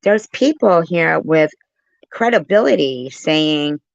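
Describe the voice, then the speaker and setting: Low-voiced, combative woman with animated pitch. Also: slow pace, vocal fry, dry recording, dull audio. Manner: combative, blunt, swearing. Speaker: commentary channel creator. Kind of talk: reaction commentary, talking back to a clip.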